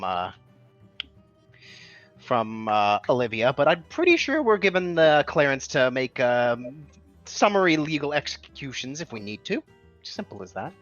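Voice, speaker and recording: A man's voice: medium pitch, a bit bright. A man talking as a player in an online tabletop game, arguing his point firmly with many fillers. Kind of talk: casual chat during an online game session. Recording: dull, lo-fi, dry microphone.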